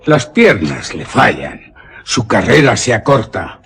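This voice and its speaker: A man's voice: on the low side, sing-song, takes it slowly, creaky, fairly bright tone, a little hiss or hum, full character voice. An actor playing a proud, reckless young nobleman hunter in a suspense radio drama, declaiming with passion in Castilian Spanish. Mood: defiant, impassioned. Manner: imperious, impassioned, declamatory.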